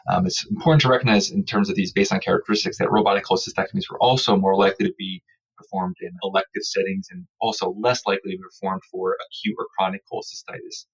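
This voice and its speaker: A man's voice: deep voice, fairly even intonation, quick pace. A man giving a formal, precise, prepared-sounding answer as an interview guest, on a slightly dull recording. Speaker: interview guest, surgical researcher.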